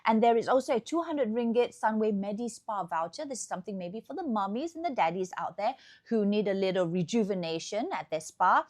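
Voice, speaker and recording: A woman with a Malaysian accent hosting a chatty, upbeat promotional tour of a hotel room indoors. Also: a little high. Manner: chatty, upbeat sales patter. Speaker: host.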